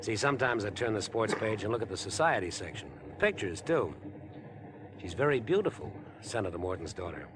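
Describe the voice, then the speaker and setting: An actor playing an overeager, ingratiating stranger with an obsessive streak, chatty and pushy in 1950s radio drama style. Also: male voice, sing-song, fairly quick. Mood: chatty, prying. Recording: 1950s radio broadcast, train rumble behind.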